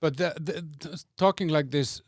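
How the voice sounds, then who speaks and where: A man's voice: fairly high pitch, normal speed, no room sound, clean studio sound. A man from Iceland, hosting a podcast, who argues in a hesitant, reasoned way and often repeats words. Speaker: podcast host. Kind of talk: casual debate chat.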